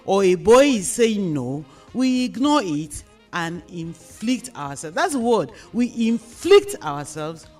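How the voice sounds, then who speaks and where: A mature Nigerian-accented woman presents a radio discussion. Her delivery is formal and emphatic, with measured phrases aimed at listeners. Faint music plays behind her voice. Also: mid-range voice, very animated, a little mellow. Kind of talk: moderating and addressing listeners in a radio panel discussion.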